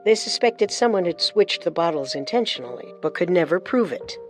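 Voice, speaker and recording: A woman's voice: fairly low pitch, lively intonation, a little fast, faint music behind. A woman co-hosting a podcast, reading scripted true-crime narration with dramatic storytelling and wry touches.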